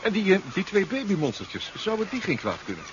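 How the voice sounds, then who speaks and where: A man's voice: high-pitched, wildly swooping pitch, a little fast. A man acting a breezy, proud submarine pilot with a casual manner in a 1970s radio drama. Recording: muffled, noisy 1970s radio recording.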